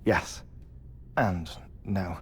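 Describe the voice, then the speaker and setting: An actor playing an eccentric, grieving middle-aged scientist in a video game, speaking in formal, precise, halting phrases. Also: male voice, deep voice, a little hiss or hum. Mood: wry, awkward. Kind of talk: video game cutscene acting, confessional monologue.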